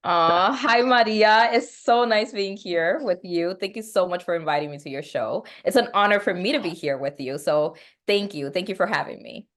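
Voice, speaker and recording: A woman's voice: on the low side, normal speed. A young woman from the United States, a therapist and interview guest, talking in a gracious way with frequent fillers. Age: young adult. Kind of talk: casual interview conversation.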